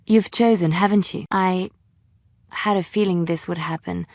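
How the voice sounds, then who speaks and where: A woman voicing a gentle, devout young bard in a fantasy game, earnest and emotive with thoughtful pauses. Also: on the low side, fairly even intonation, no echo, studio quality, natural voice. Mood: hurt, awkward. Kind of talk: dramatic fantasy game dialogue acting.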